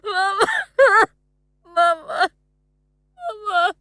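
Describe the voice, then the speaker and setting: An actress playing an anguished young woman in an audio drama, emotional and halting in her delivery. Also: extremely high pitch, little pitch movement, sharp tone, no room sound, studio quality, full character voice.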